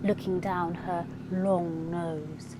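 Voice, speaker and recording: A woman narrating a children's storybook as a storyteller, in an expressive, deliberate way. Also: on the low side, a crowd in the background. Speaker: professional storyteller.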